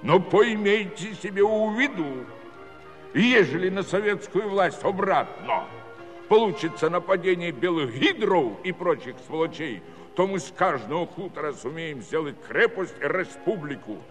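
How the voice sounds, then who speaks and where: An actor playing a folksy, self-important Cossack village judge who speechifies in garbled rustic officialese with a southern Russian Don dialect. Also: male voice, sits high, animated, normal speed, a bit bright. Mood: fiery. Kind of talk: theatrical musical comedy monologue. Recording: reverberant 1970s studio recording over music.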